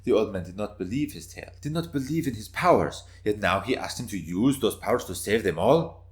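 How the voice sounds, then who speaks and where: A man's voice: fairly low pitch, animated, fairly quick. A man reading scripted story narration in a dramatic style.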